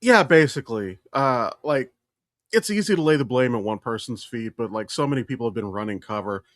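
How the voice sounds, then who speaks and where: A man's voice: mid-range voice, expressive, brisk, no room sound. Blunt man chatting on a dry mic. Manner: blunt, profane. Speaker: podcast co-host. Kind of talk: casual, opinionated podcast chat.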